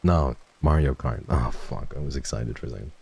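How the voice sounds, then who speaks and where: A man streaming a casual chat with viewers, swearing freely and jumping from low mumbles to excited high yelps. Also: brisk, creaky, husky, no room sound.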